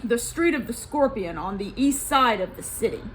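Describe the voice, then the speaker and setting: A woman voicing a fearless male doctor and worried father in a storybook, speaking in a firm, measured way. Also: fairly high pitch, lively intonation, a little hiss or hum, lightly acted. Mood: grave.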